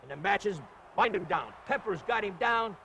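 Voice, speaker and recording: A man calling wrestling as an excited commentator, with hyperbolic, exclamatory showman patter. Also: high-pitched, moderate pace, vocal fry, a little hiss or hum.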